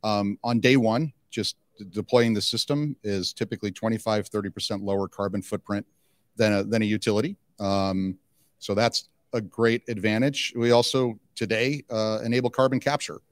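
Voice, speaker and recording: Middle aged guy getting interviewed, lowish voice, dry handheld mic sound, chatty business talk. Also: steady tone, moderate pace, no room sound. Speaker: company executive being interviewed. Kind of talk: conversational trade-show interview. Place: indoor interview booth at a conference. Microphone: handheld microphone.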